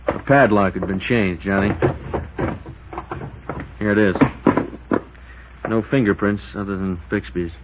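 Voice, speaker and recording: An American man acting a cautious, folksy deputy sheriff with a measured, plain-spoken, probing manner, in 1950s radio drama style. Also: lowish voice, natural voice. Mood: matter-of-fact. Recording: dull, noisy vintage radio recording.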